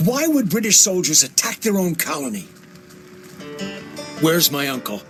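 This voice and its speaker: An actor playing a bold, resolute colonial mercenary commander in a game cutscene, decisive and commanding. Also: male voice, fairly high pitch, wildly swooping pitch, normal speed, music in the background. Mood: urgent command. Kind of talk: dramatic game cutscene acting.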